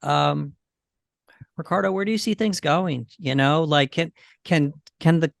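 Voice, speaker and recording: A man hosting a casual podcast about movies, thinking aloud in a halting way. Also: on the high side, gravelly, dry recording, clean studio sound. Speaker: podcast host.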